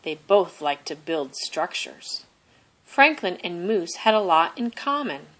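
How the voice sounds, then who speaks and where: A woman reading a children's story aloud expressively, deliberately stressing phrases. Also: lowish voice, sing-song, a bit bright, poor audio. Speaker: storybook reader.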